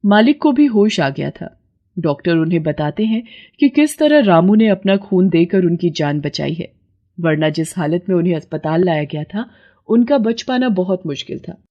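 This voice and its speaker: A woman's voice: fairly low pitch, lively intonation, moderate pace, mellow, lo-fi sound. A woman narrating a scripted moral tale as storyteller.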